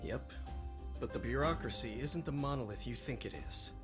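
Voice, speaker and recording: A man's voice: mid-range voice, moderate pace, music in the background, lightly acted. An actor playing a composed, resolute male official in a video game cutscene, speaking formally and assertively. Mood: firm, composed. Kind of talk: English video game dub, dramatic cutscene acting.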